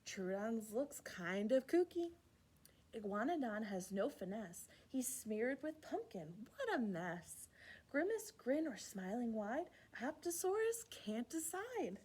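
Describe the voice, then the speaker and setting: A woman hosting a children's storytime, reading a rhyming picture book aloud in an expressive, playful way with asides to young listeners. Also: on the high side, unhurried, breathy edge. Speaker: children's library storytime host.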